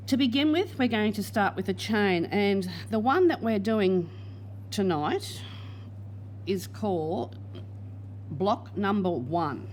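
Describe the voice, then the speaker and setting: A woman teaching crochet step by step, patient and plain, her voice going hoarse. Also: lowish voice, a little mellow, a little hiss or hum. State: losing her voice. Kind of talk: crochet tutorial.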